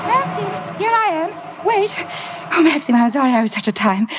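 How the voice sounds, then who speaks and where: An actress playing a gentle, anxious young wife in a 1950s radio drama, hesitant and pleading. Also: female voice, sits high, very animated, moderate pace, very noisy. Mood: uneasy. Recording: vintage radio broadcast with music behind.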